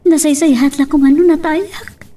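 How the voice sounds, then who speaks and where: A woman's voice: high-pitched, fairly even intonation, normal speed, warm tone, natural voice. A woman playing a hurt, defiant young mother in an Ilocano melodrama, with a plaintive, tearful manner. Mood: resigned, sad. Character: hurt young mother fleeing with her baby, bitter and defiant. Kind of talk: Ilocano radio-style melodrama acting.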